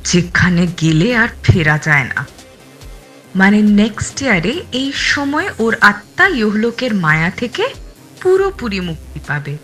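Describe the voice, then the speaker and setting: An actress playing a cold, scheming murderous wife in an audio thriller, composed, menacing and contemptuous. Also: female voice, on the low side, a bit bright. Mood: coldly contemptuous. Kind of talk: dramatised audio thriller acting.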